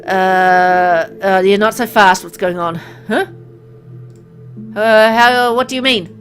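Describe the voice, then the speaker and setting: A woman's voice: mid-range voice, takes it slowly, fairly bright tone, soft background music. A woman voicing an anxious, bewildered sick man in a hospital, hesitant and questioning, in a visual novel. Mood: confused, questioning.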